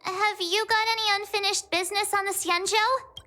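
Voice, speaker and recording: A woman's voice: very high voice, fairly even intonation, normal speed, sharp tone. A woman voicing a cheerful, chatty teenage girl traveler in a video game. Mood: bubbly.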